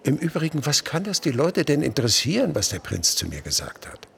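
An actor playing a worldly older Parisian gentleman in a radio play, confiding and musing in a creaky voice. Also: on the high side, wildly swooping pitch, brisk, full character voice. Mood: wry, musing. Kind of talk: German radio play dialogue.

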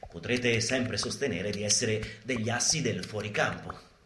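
An actor playing a sardonic urban guerrilla instructor in a live radio theatre monologue, declamatory and mock-instructional. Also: male voice, lowish voice, a little slow, lightly acted. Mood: wry, instructive. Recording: roomy live sound, faint noise.

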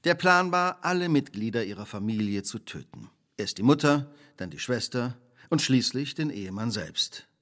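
An actor playing a shrewd, relentless detective in a radio drama, speaking precisely, formally and theatrically. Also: male voice, medium pitch, expressive, normal speed, few pauses, fairly bright tone, natural voice. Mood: grave, revealing. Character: shrewd, relentless male detective laying out a crime.

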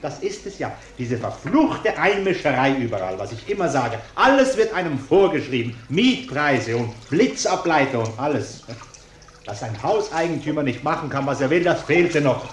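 A blustering bourgeois husband in a radio play, indignant, highish voice that jumps all over. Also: male voice, big pitch swings, normal speed. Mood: self-righteous grumbling. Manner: blustering, self-righteous, stammering when indignant. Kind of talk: German radio play acting.

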